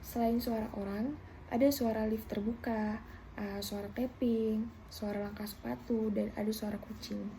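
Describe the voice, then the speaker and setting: A young woman student presents a class project in a steady, slightly formal voice and pauses now and then on a hesitant filler. Her voice sits a little high but sounds mellow and soft. There is a faint hiss of noise behind her. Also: vocal fry, rough recording. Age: young adult. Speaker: university student.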